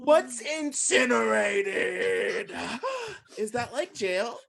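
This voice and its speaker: A woman's voice: fairly high pitch, very animated, gravelly, reverberant, over a noisy crowd, exaggerated voice. A woman voicing a naive, innocent puppet sidekick in a children's puppet show. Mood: puzzled. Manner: innocent, questioning, unhurried. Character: naive, plain-spoken puppet sidekick Number Four.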